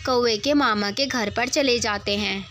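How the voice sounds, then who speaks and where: A woman's voice: a little high, a little slow, fairly bright tone, slight background noise. A woman narrating a scripted children's cartoon story as the storyteller.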